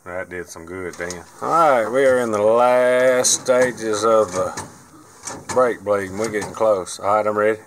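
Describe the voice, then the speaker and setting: A man's voice: fairly low pitch, a little slow, slight background noise. A man, talking casually and plainly as he drives and works on a race car. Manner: folksy, plain-spoken, rambling. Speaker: vlogger and racer. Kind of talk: casual vlog narration. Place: inside a truck cab, then a race car cockpit.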